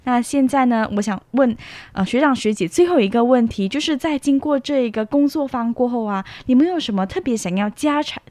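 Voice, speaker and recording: A woman's voice: fairly high pitch, a little mellow, no room sound. A young woman with a Taiwanese Mandarin accent, a student interviewer chatting casually and often giving short affirmations. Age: young adult.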